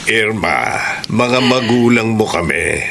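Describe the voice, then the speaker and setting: An actor playing a fond, approving middle-aged father with a creaky voice, in a Filipino radio drama. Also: lowish voice, animated, slow, background noise, full character voice.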